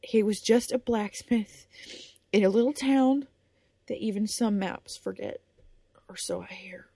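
A woman voicing an eighteen-year-old blacksmith's son, speaking quietly and earnestly. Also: a little high, a little slow, dry recording, voice pushed up. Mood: grieving.

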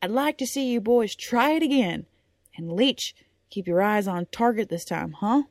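A woman's voice: mid-range voice, expressive, drawn-out words, no echo, clean studio sound, voice pushed up. A woman voicing a hulking male knight who trains young pages, barking commands with a stern edge. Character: burly gruff male knight drillmaster, stern.

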